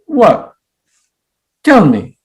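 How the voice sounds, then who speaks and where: A man's voice: high voice, wildly swooping pitch, very slow, exaggerated voice. A man in a play reading, playing a naive, hopeful, stubbornly proud immigrant who speaks in simple, formal, non-native phrases. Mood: puzzled, curious. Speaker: stage actor.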